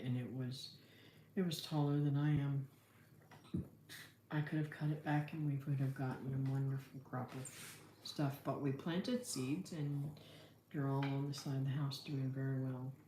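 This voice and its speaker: A woman's voice: rumbling low, warm tone. A middle-aged American woman chatting casually on a livestream, rambling and thinking aloud in a folksy way as she answers viewers. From Florida, United States. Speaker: livestream host, homesteader. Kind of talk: casual livestream chat, reading and answering comments. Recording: roomy echo, faint background noise.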